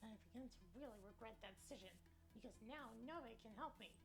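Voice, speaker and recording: A woman's voice: a little high, fast, music in the background, close to an everyday voice. A woman voicing an anxious, self-mocking lost young male cat in a visual novel, narrating dramatically and fretfully. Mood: worried.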